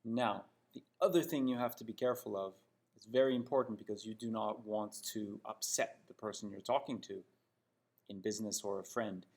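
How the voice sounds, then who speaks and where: A man with a Canadian accent and a fairly low voice teaches English. He is didactic and emphatic, stressing example sentences and often checking in with short tag questions. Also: steady tone, moderate pace, gravelly, a little mellow. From Toronto, Canada. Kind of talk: spoken English lesson critiquing example sentences.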